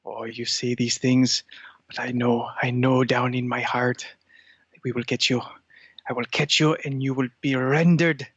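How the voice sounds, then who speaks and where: Man playing a menacing, monotone villain cat. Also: medium pitch, slightly rough, no echo, lo-fi sound. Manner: formal, ominous, deliberate villain phrasing. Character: sentient cat bent on dominating humans, menacing and grandiose. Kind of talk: improvised comedy podcast character.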